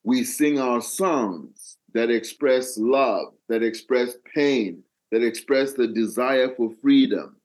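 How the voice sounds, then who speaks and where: An older man with a Malawian accent, telling his own story as an interview guest in a deliberate, phrase-by-phrase way. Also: medium pitch, a little mellow. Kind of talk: reflective personal storytelling in an interview.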